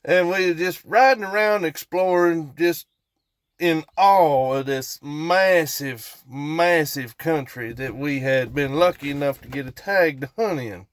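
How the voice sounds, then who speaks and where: Male storyteller, Appalachian accent, slightly high-pitched, folksy and casual, unhurried tangents, dry close sound. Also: no room sound. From West Virginia, United States. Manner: folksy, rambling, repeats for emphasis. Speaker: storytelling vlogger.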